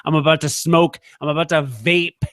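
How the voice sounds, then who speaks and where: Excitable jokey man, slightly high voice. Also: normal speed, no echo, clean studio sound. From Illinois. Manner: excitable, jokey, rambling rants. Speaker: podcast co-host. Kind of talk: casual chat.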